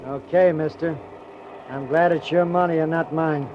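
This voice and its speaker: An actor playing a chatty, blunt city cab driver with a folksy, streetwise manner in a 1940s radio crime drama. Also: male voice, fairly high pitch, fairly even intonation, moderate pace, lightly acted. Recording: muffled noisy vintage radio recording.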